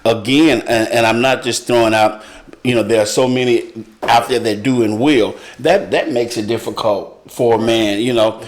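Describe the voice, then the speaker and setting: A middle-aged man in a casual panel discussion, speaking haltingly and repeating his words as he thinks. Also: medium pitch, steady tone. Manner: halting, repeats words, often says "you know". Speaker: panelist on a talk show.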